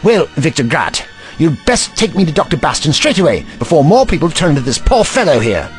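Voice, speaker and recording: An actor playing an urgent, protective alien doctor and investigator in an audio drama, articulate and authoritative. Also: male voice, fairly high pitch, sing-song, fairly quick, faint music behind. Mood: commanding.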